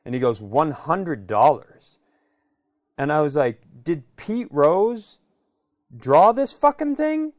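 A man co-hosting a comedy podcast, telling casual funny stories in an animated, sweary way and acting out the dialogue. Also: a little high, slow, no echo, clean studio sound.

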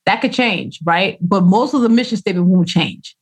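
Expressive woman author telling stories with slang. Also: medium pitch, moderate pace, breathy edge. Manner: expressive storyteller who acts out quoted voices, uses slang. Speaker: author guest. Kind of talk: casual interview conversation.

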